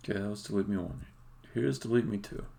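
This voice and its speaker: A man with a lowish voice and heavy vocal fry talks through his coding on a live stream. He is blunt and unscripted, thinking aloud in fragments, with faint noise and keyboard clicks behind him. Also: quick pace, dark tone.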